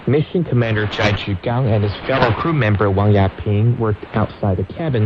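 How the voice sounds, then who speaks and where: A man reading scripted radio news as an anchor, heard over shortwave radio. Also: on the low side. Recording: noisy, dull shortwave radio reception.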